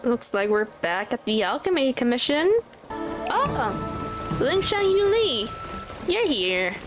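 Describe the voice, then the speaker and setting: A woman's voice: high voice, big pitch swings, slow pace. A woman streamer giving casual commentary as she plays through a game. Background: game music.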